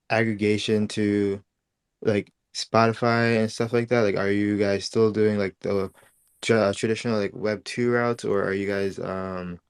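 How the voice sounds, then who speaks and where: A man's voice: fairly low pitch, clear, resonant tone, no room sound. A man speaking casually and hesitantly as he asks questions, often filling with "like". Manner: hesitant, frequent "like". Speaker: participant asking questions. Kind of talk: casual chat.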